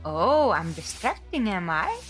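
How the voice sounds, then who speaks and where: A woman's voice: medium pitch, very animated, a little slow, faint music behind. A woman playing a cheeky, confident young circus trapeze performer in an audio drama. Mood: teasing.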